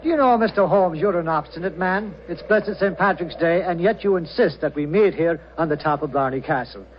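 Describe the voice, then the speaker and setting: An actor playing a stubborn, skeptical Irish village police sergeant in a 1940s radio drama. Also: male voice, fairly high pitch, fairly quick, natural voice. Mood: exasperated. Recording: dull, noisy old radio recording.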